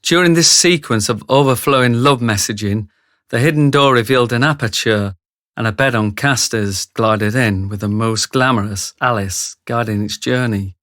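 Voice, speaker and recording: A man's voice: mid-range voice, normal speed, a bit bright, no echo, studio quality. A man reading scripted narration for a radio drama, telling the story.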